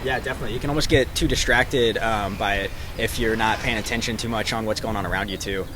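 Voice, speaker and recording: A young man from Texas with a light Texas accent, a college distance runner answering media questions in a relaxed way, with many fillers. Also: fairly low pitch, fast, noisy background. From Texas, Dallas suburbs. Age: young adult. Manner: relaxed, lots of ums and likes. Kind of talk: media interview.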